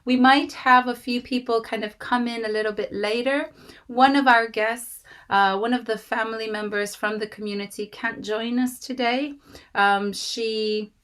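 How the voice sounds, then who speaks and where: A woman in her thirties with a slightly raspy voice from a cold, warmly welcoming guests to an online meeting with many fillers. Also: a little high, fairly even intonation, normal speed. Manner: gracious, rambling with fillers. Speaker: host. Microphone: video call.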